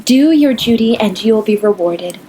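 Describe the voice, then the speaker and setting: An actress playing a proper, dutiful elder sister in an audio play, prim and gently bossy. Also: female voice, mid-range voice, expressive, normal speed, close to an everyday voice. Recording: noisy with clicks.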